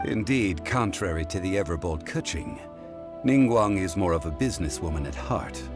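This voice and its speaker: Deep-voiced, composed gentleman advisor. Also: lively intonation, over music. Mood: measured, knowing. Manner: formal, measured, erudite. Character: composed, knowledgeable gentleman advisor, courteous and measured. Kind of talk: video game character acting.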